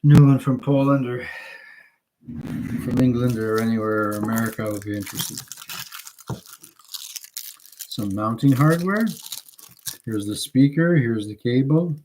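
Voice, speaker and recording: A man rambling casually on a livestream, halting and digressive as he thinks aloud while showing his purchases. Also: medium pitch, noisy background. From Canada.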